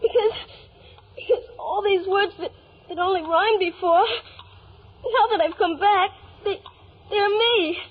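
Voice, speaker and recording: A woman's voice: extremely high pitch, unhurried, pauses often. A woman playing an earnest, imaginative schoolboy full of breathless wonder in 1950s radio drama style. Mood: tearful earnestness. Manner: breathless, earnest, wide-eyed. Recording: dull, noisy vintage radio recording.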